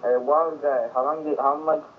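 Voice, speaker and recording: A man's voice: on the high side, steady tone, normal speed. A man on online game voice chat answering curtly and sounding unimpressed. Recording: tinny voice chat with faint hiss.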